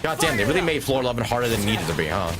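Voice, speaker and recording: A man doing casual, informal live gameplay commentary, thinking out loud as a streamer. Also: mid-range voice, very animated, rapid-fire. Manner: informal, swearing, thinking aloud. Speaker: game livestreamer. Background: video game music and sound.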